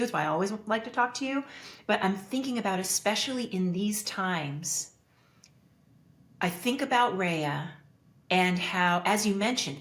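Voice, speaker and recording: A woman's voice: mid-range voice, fairly bright tone, echoey room. A woman hosting a podcast interview, speaking in an earnest, reflective way and thinking aloud with restarts. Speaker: podcast host. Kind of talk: interview conversation.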